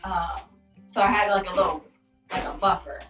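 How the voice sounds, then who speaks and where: A woman's voice: medium pitch, steady tone, normal speed, music in the background. A woman from Chicago's South Side, a podcast guest and entrepreneur, talking in an easygoing, chatty way. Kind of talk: casual interview chat. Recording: distant, echoey remote call audio.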